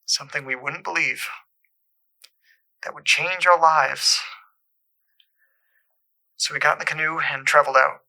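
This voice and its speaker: An actor playing a troubled caller in an audio drama, haltingly and earnestly recounting an eerie supernatural memory. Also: male voice, a little high, fairly even intonation, vocal fry, sharp tone, full character voice, low-quality recording. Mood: uneasy, haunted.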